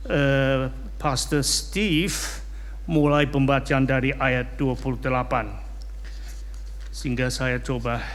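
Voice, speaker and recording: A man's voice: mid-range voice, long reverb tail. A man preaching in Indonesian with a non-native accent, his first language English, leading a prayer and reading scripture aloud with frequent hesitations. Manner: slow, halting, with frequent hesitations. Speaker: guest preacher.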